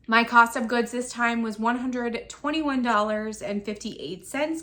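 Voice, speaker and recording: A woman in her thirties with a slightly high voice that has turned raspy while she recovers from an illness. She walks through her sales one item at a time, matter-of-fact and orderly. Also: a bit bright. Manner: itemized, matter-of-fact listing. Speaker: online reseller. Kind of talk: sales recap vlog.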